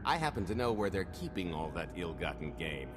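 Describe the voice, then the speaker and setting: A sly, deep-voiced alien con man. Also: sing-song, fairly quick, music in the background. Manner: sly, wry and confident. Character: smooth-talking male alien scoundrel, cocky. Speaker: video game character. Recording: game score behind the voice.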